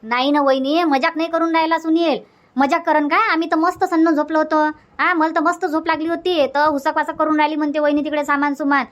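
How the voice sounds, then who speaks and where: A woman's voice: high-pitched, fairly even intonation, fast, natural voice. A woman voicing an earnest, flustered younger village sister-in-law in a comic cartoon, chatty and insistent, with a Varhadi Marathi accent. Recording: dull, lo-fi sound.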